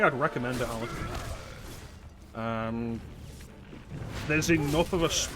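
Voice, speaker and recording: A man's voice: mid-range voice, noisy background. Wry, chatty male game streamer. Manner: wry, chatty, answers chat. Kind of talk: casual chat while playing. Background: game music.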